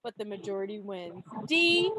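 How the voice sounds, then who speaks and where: A woman hosting a club quiz on a video call, reading questions and answers in an expressive, playful way with casual asides. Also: mid-range voice, normal speed, noisy background. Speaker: quiz host at a club meeting. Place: online video meeting. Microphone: video call microphone.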